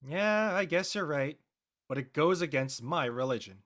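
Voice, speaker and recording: A man playing a blunt, skeptical and slightly awkward high school boy in a script reading. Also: expressive, normal speed, fairly bright tone. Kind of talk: casual live script reading.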